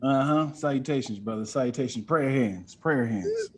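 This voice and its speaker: A man's voice: mid-range voice, normal speed. A man who is a comedian guesting on a podcast, talking in a casual comic storytelling style and acting out dialogue. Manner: animated, acts out quoted dialogue.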